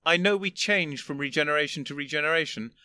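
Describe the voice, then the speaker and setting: A man doing a condescending elderly gentleman. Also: on the high side, fast talker, smooth, dry recording, voice pushed up. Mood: lecturing. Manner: precise, lecturing, wearily patient.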